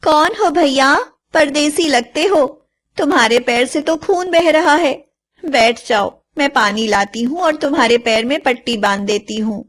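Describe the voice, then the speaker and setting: A woman voicing a lonely, caring and anxious village mother, plaintive and expressive, in an animated moral story. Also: high-pitched, measured pace. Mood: concerned.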